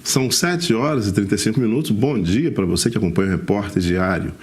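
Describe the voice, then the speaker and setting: A man with a Brazilian accent hosts a broadcast interview. His voice is deep, and he presents as a TV interviewer would. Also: fast talker, faint music behind. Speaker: interviewer, TV segment host.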